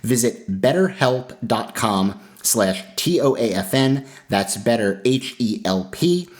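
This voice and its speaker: A man's voice: medium pitch, expressive, slow. A man speaking as a podcast host, reading announcements and sponsor messages in a conversational, earnest way.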